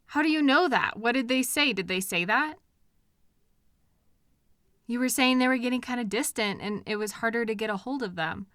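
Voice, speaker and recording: A woman's voice: a little high, dry recording, clean studio sound, lightly acted. A woman playing a caring partner in an intimate comfort roleplay, speaking tenderly and expressively straight to the listener. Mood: concerned, puzzled. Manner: tender, expressive, with soft pauses and questions. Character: caring, gently indignant woman comforting her upset partner. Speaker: audio roleplay performer. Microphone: close microphone in a dry room.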